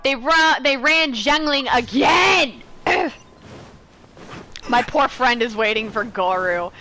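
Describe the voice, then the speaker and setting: A woman comments casually on a video game in a bright, fairly high voice. She is blunt and sarcastic in her offhand asides, and game music sits behind her. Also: lively intonation, slow pace. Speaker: gaming streamer.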